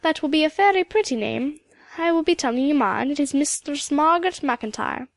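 A woman reading a storybook, voicing a proud, dignified old widow with a Highland Scottish accent and a rich, emphatic delivery. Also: lively intonation, a little fast, no room sound. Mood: regal, proud. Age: older. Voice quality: rich, powerful. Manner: stately, emphatic, lilting turns of phrase.

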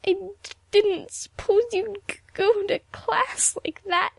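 A woman voicing a sly schoolgirl in a storybook reading, with a wheedling, halting manner that leans toward pleading. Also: very high-pitched, monotone, a little mellow, no echo, voice pushed up. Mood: sobbing, defensive. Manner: wheedling, stammering, sniffling. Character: sly schoolgirl, falsely gentle, crumpling into tearful pleading.

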